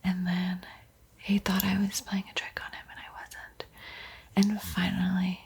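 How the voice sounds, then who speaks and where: A woman giving an ASMR art tutorial in a breathy whisper, with a soft, unhurried, playfully eerie and teasing manner. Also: lowish voice, completely flat delivery. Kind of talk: whispered ASMR painting tutorial with spooky roleplay.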